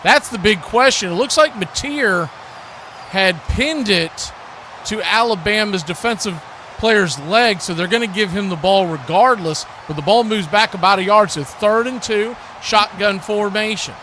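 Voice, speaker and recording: A man's voice: high-pitched, a little slow, pushed and bright, a little hiss or hum. A man doing live football play-by-play, mixing clipped calls with folksy, chatty asides. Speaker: play-by-play announcer and stream host.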